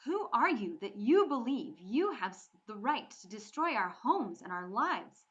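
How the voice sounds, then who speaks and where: Woman voicing a defiant Irish girl, storybook reading, raised and sharp, scornful, slightly muffled. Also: medium pitch, slow pace. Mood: furious. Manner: scornful, loud outbursts.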